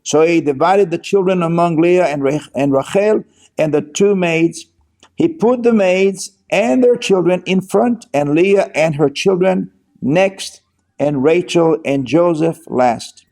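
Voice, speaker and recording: A man from Israel giving an earnest sermon and reading scripture aloud at a slow pace. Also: on the high side, a little mellow. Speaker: teacher of scripture.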